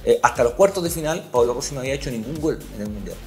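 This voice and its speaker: A man's voice: mid-range voice, lively intonation, rapid-fire, faint music behind. A man hosting a sports podcast, telling stories emphatically and repeating key points. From Chile. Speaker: podcast host, sports journalist.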